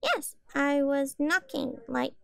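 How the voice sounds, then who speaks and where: A woman's voice: high-pitched, slow pace, creaky, no room sound, exaggerated voice. A woman playing a small, meek, eager-to-please catgirl in an audio roleplay, stammering hesitantly and apologetically. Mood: meek, uncertain. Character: timid, eager-to-please small catgirl, homeless stray kitten. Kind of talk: audio roleplay addressed to the listener.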